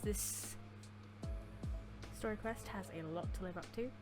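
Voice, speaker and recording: A woman gives off-the-cuff gaming commentary in a mid-range voice. She speaks informally and reacts to what is on screen. Music plays clearly behind her. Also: medium pitch, a little slow. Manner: informal, reacts aloud to the game. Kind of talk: casual let's-play commentary. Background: background music.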